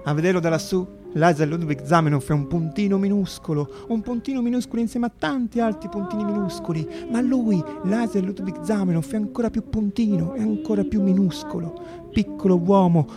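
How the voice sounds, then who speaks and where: A man's voice: high-pitched, a little fast, over music. A man narrating radio theatre as an actor-storyteller, dramatic and emphatic, repeating phrases for effect.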